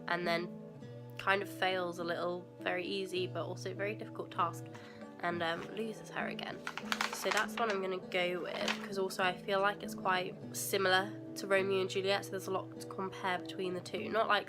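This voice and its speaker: A young woman from England, a university student, chatting casually in a rambling way with a stuffy nose from a cold, at home. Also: lowish voice. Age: young adult. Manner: chatty and rambling, many fillers and asides. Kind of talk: casual vlog chat. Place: at home indoors.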